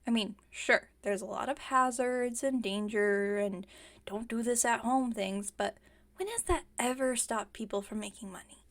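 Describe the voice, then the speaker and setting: A stubborn lady villain who invents things next door, acted by a woman for an ASMR roleplay. Her voice sits fairly high with a marked creak of vocal fry. She rambles chattily, teasing and defending herself with mock innocence. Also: normal speed, lightly acted. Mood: enthusiastic, persuasive. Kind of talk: ASMR roleplay, one-sided conversational acting.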